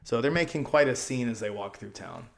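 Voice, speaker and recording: A man's voice: mid-range voice, animated, fairly quick, creaky, background noise. A man narrating a children's storybook as a storyteller, reading aloud and explaining things to young listeners. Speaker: storyteller, channel host.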